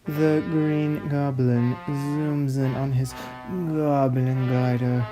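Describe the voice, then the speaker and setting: Slow, droning male snobby narrator. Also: medium pitch, little pitch movement, music in the background. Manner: droning, mock-pompous, deliberate. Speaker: host, narrator of a web series. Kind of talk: comic scripted narration and script reading.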